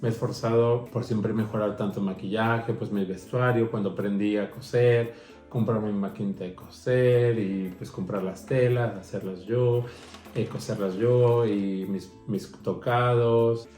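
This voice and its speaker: A man's voice: lowish voice, fairly even intonation, measured pace, warm tone. A man, a drag performer talking expressively and conversationally about personal experience in an interview. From Mexico. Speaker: drag queen street performer. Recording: echoey room with music behind.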